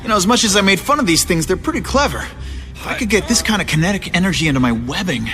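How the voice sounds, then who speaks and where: A wisecracking young superhero from a video game, cocky yet earnest. His voice is high and lively, swinging around as he teases. Music plays clearly behind him in a long hall reverb. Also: fast talker. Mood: musing. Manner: quippy, teasing. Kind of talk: video game cutscene acting.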